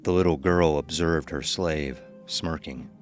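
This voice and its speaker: A man's voice: extremely low pitch, unhurried, faint music behind. A man narrating a scripted horror story as an ominous storyteller.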